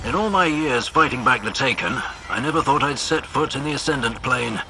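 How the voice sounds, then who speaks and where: A man's voice: mid-range voice, lively intonation, brisk, background noise, lightly acted. A man voicing a gallant veteran fighter in a video game, easy and conversational, with reassuring confidence. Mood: breezy. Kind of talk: video game cutscene acting.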